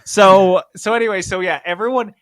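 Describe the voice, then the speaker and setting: A man's voice: high-pitched, steady tone, normal speed, fairly bright tone, no echo. A man hosting a gaming podcast, explaining news casually in an emphatic, sarcastic, sweary way. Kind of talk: casual comic explaining of game industry news.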